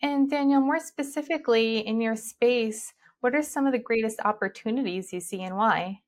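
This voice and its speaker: A woman's voice: a little high, moderate pace. Polite woman podcast host. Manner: polite, smooth and scripted. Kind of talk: scripted podcast introduction and questions.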